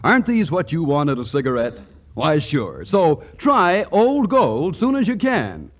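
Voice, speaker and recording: A man working as a 1940s radio announcer, giving a folksy, persuasive sales pitch. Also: very animated, measured pace.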